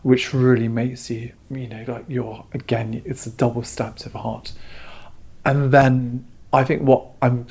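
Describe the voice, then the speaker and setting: A man with a husky, slightly rough voice talks as an interviewed curator. He is earnest and reflective, and his intonation stays very flat. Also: mid-range voice, measured pace. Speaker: exhibition curator being interviewed. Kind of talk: explanatory interview talk.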